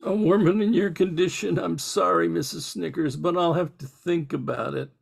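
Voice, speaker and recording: A man's voice: a little high, fairly even intonation, normal speed, vocal fry, husky, full character voice. A man playing a harried, polite small-town bakery owner in an old-time radio drama, earnest and hesitant. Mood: worried, reluctant. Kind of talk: 1940s radio drama acting.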